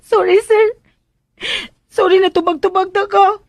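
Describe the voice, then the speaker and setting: An actress playing a cheeky, pleading young caretaker in a radio drama, blurting things out in hesitant, chatty back-talk. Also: female voice, very high-pitched, fairly even intonation, a little slow, dry recording, clean studio sound. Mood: apologetic pleading.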